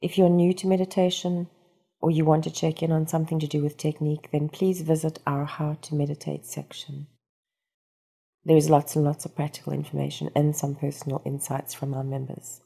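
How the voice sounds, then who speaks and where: A woman narrates a guided meditation with a mellow, dark tone. Her voice is breathy and husky, with a noticeable creak. She gives instructions calmly and soothingly, never hurried. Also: sits low. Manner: calm, soothing, unhurried instruction.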